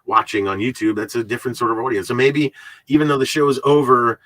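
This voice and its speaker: A man hosting a podcast in casual, rambling, halting talk, often repeating his words and swearing freely. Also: medium pitch, a bit bright, dry recording. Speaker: podcast host.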